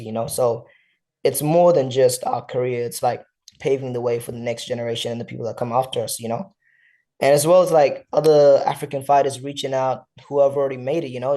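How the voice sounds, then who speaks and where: Laid-back young man, mellow voice. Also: medium pitch. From South Sudan. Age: young adult. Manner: laid-back slang, frequent "you know". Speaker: fighter, guest. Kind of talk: casual interview.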